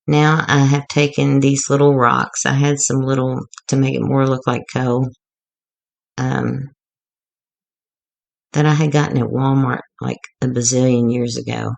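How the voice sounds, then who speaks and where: Slow, gravelly-voiced woman going hoarse. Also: extremely low pitch, flat delivery. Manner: rambling, chatty, self-deprecating. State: getting hoarse. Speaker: craft tutorial maker. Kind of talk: casual crafting walkthrough.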